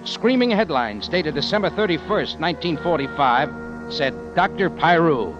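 An actor playing a tough, wry American adventurer with a terse hard-boiled delivery, in 1940s radio drama style, on a dull old recording. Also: male voice, on the high side, lively intonation, moderate pace, over music, lightly acted. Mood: wry narration. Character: tough, wry American adventurer narrating his own case.

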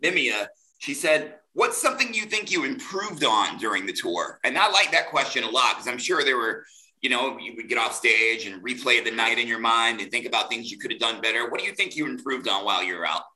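A man's voice: medium pitch, lively intonation, a little fast, pushed and bright, poor audio. A middle-aged man hosting a casual chat interview as a podcast host.

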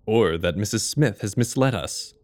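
A man acting a loyal, earnest gentleman in an audio drama, with a measured, formal delivery. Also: on the low side, animated, lightly acted. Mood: earnest, concerned.

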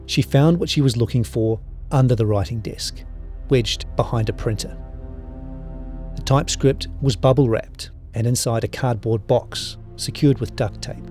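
A man reading scripted documentary narration in a measured storytelling manner. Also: mid-range voice, darkish tone. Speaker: podcast narrator. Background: background music.

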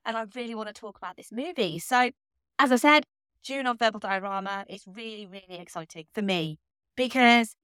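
High-voiced woman hosting a podcast. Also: fairly bright tone, dry recording, studio quality. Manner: chatty and enthusiastic. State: recovering from laryngitis, slightly hoarse. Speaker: podcast host. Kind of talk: solo podcast monologue.

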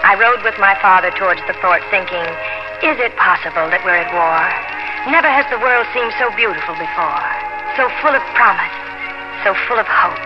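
A woman's voice: medium pitch, moderate pace, natural voice. Woman playing an earnest young colonial heroine, muffled radio. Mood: wistful, somber. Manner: earnest, dramatic, clearly enunciated. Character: spirited, grieving young English colonial daughter. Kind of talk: 1950s radio drama acting with first-person narration. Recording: dull vintage radio recording with music.

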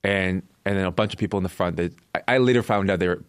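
A man with a Canadian accent telling a personal story casually as an interview guest, in short halting phrases. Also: deep voice, clean tone, no echo, clean studio sound. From born in Korea, raised in Canada.

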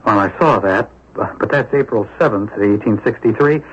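A man's voice: fairly low pitch, moderate pace, husky. A man acting in a radio drama as an apologetic, eager Civil War collector, speaking hesitantly and earnestly. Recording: dull lo-fi radio broadcast recording.

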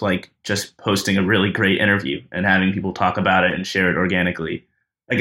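Deep-voiced podcast host, relaxed. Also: male voice. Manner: relaxed, conversational questioning. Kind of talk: casual interview.

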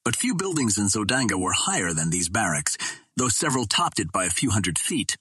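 A man narrates an adventure story in a low, rough-edged husky voice. His delivery is measured and dramatic, like an audiobook, and the recording is close and dry. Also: a bit bright, no echo. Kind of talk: scripted narration of an adventure novel.

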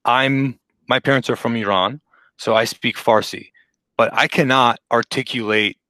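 A man's voice: medium pitch, fairly even intonation, a little slow, pushed and bright, no echo. A man hosting a remote podcast interview, talking casually with many fillers and an upbeat manner. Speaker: podcast host. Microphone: remote call microphone.

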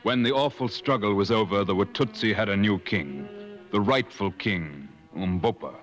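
An actor playing an earnest male adventurer who narrates a safari tale in measured, dramatic 1950s radio style. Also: fairly low pitch, lightly acted. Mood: grave, suspenseful. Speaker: narrator and character in a radio play. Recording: old radio broadcast with music.

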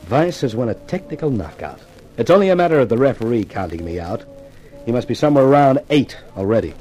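A man's voice: medium pitch, sing-song, fast talker, mellow, faint music behind, lightly acted, low-quality recording. A man playing a wisecracking, beleaguered newspaper columnist in a 1950s radio crime drama, with a sardonic manner. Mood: sardonic, weary. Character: wry, beleaguered male newspaper columnist in a mystery.

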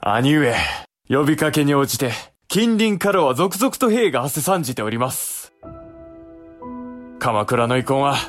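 An actor playing an earnest, respectful younger-brother samurai in a historical audio drama, speaking politely and sincerely. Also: male voice, mid-range voice, very animated, a little slow, long pauses, soft background music. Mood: eager.

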